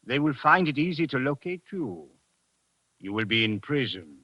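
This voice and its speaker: An actor playing a formal, courteous French police official, measured and polite, in 1940s radio drama style. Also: male voice, medium pitch, big pitch swings, sharp tone, slightly rough, full character voice. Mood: formal, composed. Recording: muffled vintage 1940s radio recording.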